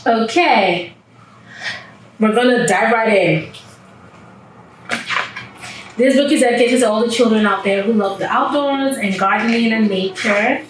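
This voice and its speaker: A woman's voice: on the high side, normal speed, background noise. A woman reading a storybook aloud to young children, with simple child-directed phrasing and questions to her listeners. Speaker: children's read-aloud host. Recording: distant mic in echoey room, background music.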